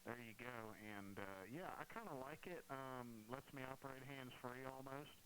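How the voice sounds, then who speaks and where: A man's voice: mid-range voice, normal speed, fairly bright tone. A man in a casual round-table chat as an amateur radio operator, heard in ham radio audio. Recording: noisy radio audio with clear static.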